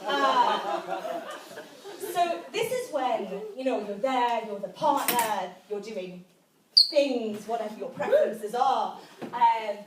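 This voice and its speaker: A woman's voice: a little high, expressive, takes it slowly. A young woman with a Geordie accent delivers a stand-up comedy monologue in a self-deprecating, hesitant, conversational manner. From Newcastle area, North East England. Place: live indoor event with an audience. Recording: echoey room, distant mic, audience noise. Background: audience laughter.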